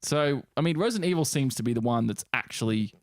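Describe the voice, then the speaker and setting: Male podcast co-host, casual and profane, slightly low voice, close dry sound. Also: expressive, fast talker, no room sound. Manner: blunt, profane.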